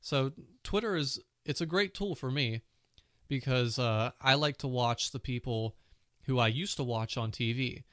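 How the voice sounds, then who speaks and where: A man's voice: medium pitch, measured pace, fairly bright tone, no room sound, clean studio sound. A man hosting his own casual tech podcast, chatty and full of fillers, with a cold and a sore throat. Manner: chatty, many "you know" fillers. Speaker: podcast host.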